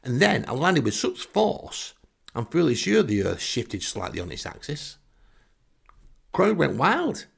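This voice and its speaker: A man's voice: on the high side, wildly swooping pitch, unhurried, vocal fry, slightly rough. A man with a Northern English accent telling a humorous first-person anecdote in a wry, self-deprecating way. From Northern England. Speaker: comic storyteller.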